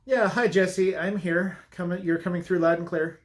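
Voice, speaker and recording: High-pitched man talking over push-to-talk radio. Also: fairly even intonation, moderate pace. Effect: push-to-talk radio transmission. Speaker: tech service manager. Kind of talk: casual radio check chat.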